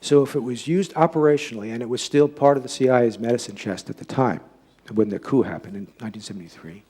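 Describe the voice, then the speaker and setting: A man with a slightly husky voice giving an informal public lecture, halting as he repeats and rephrases himself. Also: mid-range voice, mellow. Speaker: lecturer giving a talk and taking audience questions.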